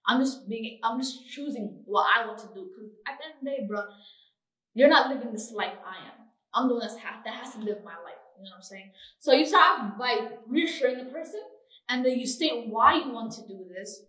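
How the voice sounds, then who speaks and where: A woman's voice: on the high side, lively intonation, brisk, sharp tone, recorded from a distance. A young woman whose first language is Somali, chatting casually and animatedly with slang and lots of "like". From Somali family. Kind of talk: casual chat. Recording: echoey room, voice a bit off-mic.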